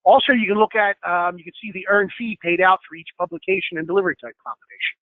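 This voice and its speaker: Guy walking people thru software on a call, talks fast, kinda hoarse, tinny phone sound. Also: high voice, no room sound. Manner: explanatory, many fillers, asks for questions. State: slightly hoarse, clearing throat. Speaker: software trainer. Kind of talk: screen-share software training.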